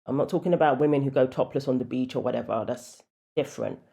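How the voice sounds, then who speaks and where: A woman with a deep voice giving casual, opinionated commentary with wry asides. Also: quick pace, vocal fry, warm tone.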